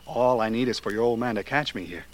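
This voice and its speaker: An actor playing a desperate young man in debt in a 1940s radio drama, agitated and halting. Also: mid-range voice, close to an everyday voice. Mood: edgy, scared. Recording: noisy old radio recording.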